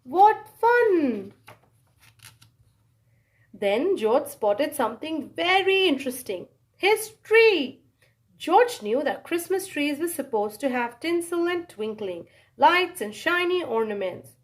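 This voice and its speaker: A woman reads a children's story aloud with expressive, animated narration and dramatic pauses and exclamations. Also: high-pitched.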